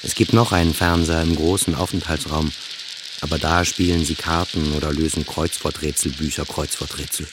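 An actor playing a weary, depressed psychiatric patient in a literary radio play, telling his story in halting, repetitive phrases. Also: very deep voice, flat delivery, noisy background. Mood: flat, weary. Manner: halting short phrases, repeats words, often drops to whispers.

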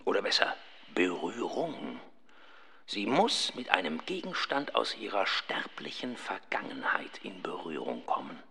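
A man playing a pompous, self-satisfied professor of ghost research in a children's radio play, husky and halting as he savors his own account. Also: sits low, very animated, pushed and bright, exaggerated voice. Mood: pondering, instructive.

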